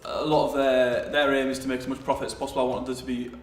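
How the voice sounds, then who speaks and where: Young Yarm man, graduate trainee, quick and gravelly, distant mic in an echoey room. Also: medium pitch, little pitch movement. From Yarm, England. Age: young adult. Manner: quick, with hesitant restarts. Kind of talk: casual interview answers. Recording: roomy, distant mic with music behind.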